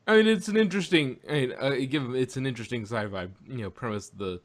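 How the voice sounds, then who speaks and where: A man chats casually as a podcast host. He speaks haltingly, often restarting and circling back to rephrase, in a voice of ordinary middle pitch. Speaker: podcast host reviewing audio drama. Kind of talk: casual chat about sci-fi.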